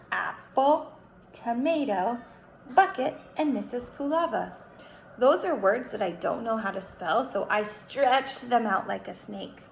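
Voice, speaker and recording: A young woman reads aloud to small children as their teacher, slow and deliberate, with noticeable creak in her voice. She stretches key words and explains as she goes. The room rings around her voice. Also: a little high. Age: young adult. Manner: animated, instructive, stretches words for emphasis. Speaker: kindergarten teacher. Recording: roomy echo, faint background noise.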